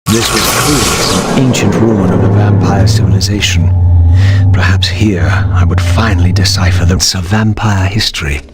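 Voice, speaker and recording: Man voicing a low, brooding undead wraith. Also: loud music behind the voice, natural voice. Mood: solemn, pondering. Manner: solemn, formal, deliberate. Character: brooding, eloquent undead male wraith seeking his destiny. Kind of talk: scripted first-person game narration.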